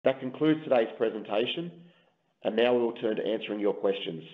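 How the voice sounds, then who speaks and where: Deep-voiced man on a phone-like line. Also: expressive, brisk. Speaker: company executive presenting. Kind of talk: scripted investor presentation. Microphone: conference call line. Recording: thin phone-like conference call line.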